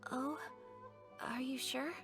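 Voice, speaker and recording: A woman playing a polite, dignified young noblewoman in an anime game, speaking with formal, measured, humble grace. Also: sits high, fairly even intonation, over music. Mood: modest, hesitant.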